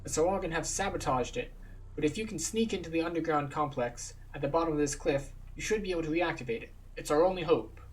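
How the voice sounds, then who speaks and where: A man's voice: a little high, quick pace, pitched above the performer's own voice, natural voice, poor audio. Man voicing an anxious island guardian.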